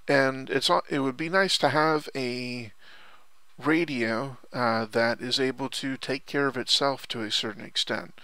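A man's voice: mid-range voice, steady tone, unhurried, pushed and bright, dry recording. A man giving casual, unscripted product commentary in a rambling, hesitant way, often repeating himself. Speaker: online product reviewer.

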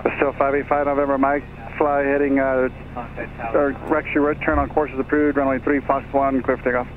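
A man's voice: medium pitch, flat delivery, brisk. A male air traffic controller giving clipped procedural instructions over an aircraft radio. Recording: tinny aviation radio, faint noise.

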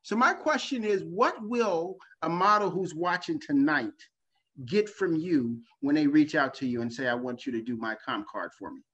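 A man's voice: a little high, animated, normal speed, fairly bright tone, slightly rough. A male talk show host with an upbeat, laughing, showman-like way of introducing guests. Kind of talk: online talk show hosting. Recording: dull lo-fi video call audio.

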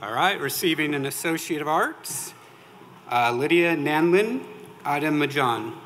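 A man's voice: a little high, lively intonation, measured pace. A man formally reading out graduates' names as a commencement announcer. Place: large auditorium stage at a graduation. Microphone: podium microphone. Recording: loud crowd noise over podium speech. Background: loud crowd and noise.

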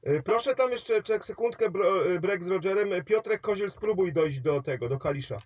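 A man's voice: sits high, expressive, moderate pace, highs cut off, noisy background. Chatty man calling over CB radio. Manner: rambling, calls signal reports and hands over the mic. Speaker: CB radio operator.

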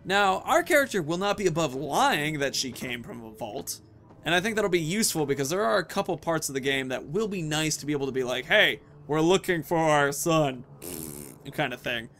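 Animated, higher-pitched man doing game commentary. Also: moderate pace, a little hiss or hum. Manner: chatty, thinking aloud. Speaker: gaming video host. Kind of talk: casual let's-play commentary. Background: video game sounds.

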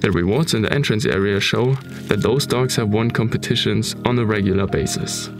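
A man with a German accent narrating a documentary about an abandoned manor in a calm, measured tone. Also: on the low side, over music. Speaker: narrator of urban exploration videos.

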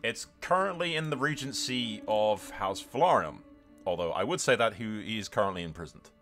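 A man's voice: fairly low pitch, lively intonation, moderate pace, fairly bright tone, faint music behind. A man hosting a gaming video, mixing dramatic role-play storytelling with sweary, casual strategy game commentary, in a theatrical manner.

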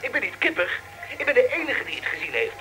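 An excitable, fast-talking rookie reporter. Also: male voice, very high-pitched, soft background music, heavily acted, low-quality recording. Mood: insistent. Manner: rambling, stammering, urgent. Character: excitable male rookie radio reporter, stubborn and breathless. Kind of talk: radio drama acting.

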